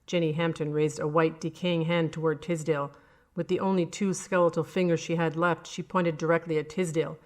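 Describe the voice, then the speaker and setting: Woman narrator for horror audiobook, low register, scripted storytelling delivery. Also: flat delivery, a little fast, a little mellow.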